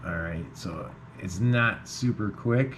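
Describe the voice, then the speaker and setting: A man giving an informal, unscripted software walkthrough, explaining things step by step as a tutorial host. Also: on the low side, slow. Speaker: amateur radio tutorial host. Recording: clearly noisy background.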